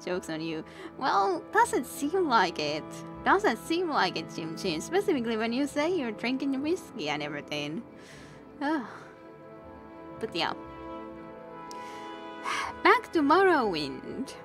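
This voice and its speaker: Slow, high-voiced young woman streamer. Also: big pitch swings, music in the background. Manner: chatty, laughs often, teasing. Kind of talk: casual game stream chat, reading dialogue aloud.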